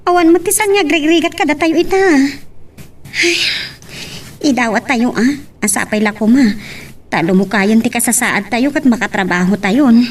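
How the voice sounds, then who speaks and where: A woman's voice: fairly high pitch, expressive, normal speed, close to an everyday voice. A woman acting a pleading, long-suffering member of a poor rural family in an audio drama, recorded off-mic. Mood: worried, resigned. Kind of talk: Ilocano radio drama acting. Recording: distant mic, slight background noise.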